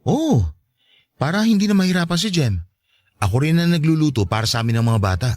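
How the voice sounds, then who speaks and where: A man's voice: on the high side, sing-song, brisk, darkish tone, no room sound, clean studio sound. A man playing a meek, accommodating, homely stepfather in a radio-style drama, polite, placating and defensive. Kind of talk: Tagalog radio-style love story drama.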